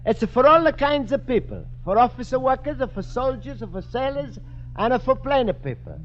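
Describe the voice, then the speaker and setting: A very high, lively male voice with a thick Italian accent. He is a naive, earnest immigrant shopkeeper speaking broken English, heard on a dull old radio comedy recording. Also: moderate pace, a little hiss or hum. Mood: earnest, amused. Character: cheerful naive Italian immigrant man, antique shop owner. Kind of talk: 1950s radio comedy acting.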